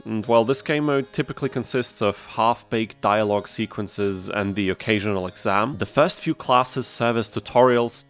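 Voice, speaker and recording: A man narrates a gaming video in a lowish voice. His scripted delivery is wry and dryly sarcastic. Faint music plays underneath him. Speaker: video creator and host.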